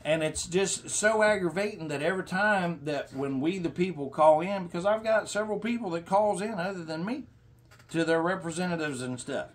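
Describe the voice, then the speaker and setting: A middle-aged man making a confrontational complaint as a caller, speaking in a halting, insistent, lecturing way, recorded in a small room. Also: sits high. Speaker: caller to a senator's office.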